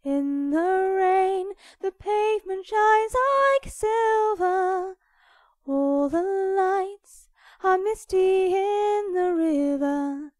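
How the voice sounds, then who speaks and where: A woman sings a cappella in a very high voice, taking her time over each phrase. It is casual amateur singing from memory, unaccompanied, in a dry recording with very little room sound. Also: expressive, drawn-out words, darkish tone, no echo, soft background music. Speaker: amateur singer and fandubber.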